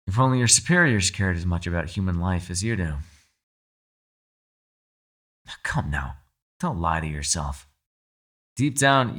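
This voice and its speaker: A man playing a captive mind-controlling being in an ASMR roleplay, calm, shrewd and persuasive, speaking in a measured, formal, quietly condescending way. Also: fairly low pitch. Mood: mock sympathy. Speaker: voice actor. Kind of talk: ASMR roleplay, dramatic monologue.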